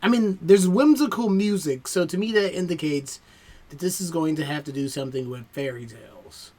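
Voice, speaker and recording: A man's voice: on the high side, moderate pace, mellow. A man giving casual, exclamatory reaction commentary, talking back to the show he watches.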